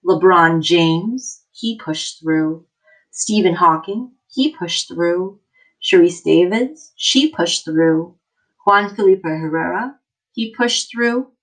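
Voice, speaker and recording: Slow, encouraging woman reading to children. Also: lowish voice, poor audio. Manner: encouraging, emphatic, addressing children directly. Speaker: teacher reading a picture book aloud to children. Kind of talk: children's read-aloud with encouraging asides.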